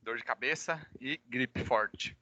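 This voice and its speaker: A Brazilian man, a high school teacher with a cold, giving informal class announcements online and addressing students directly. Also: slow pace, sharp tone, slight background noise. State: recovering from a cold. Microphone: microphone.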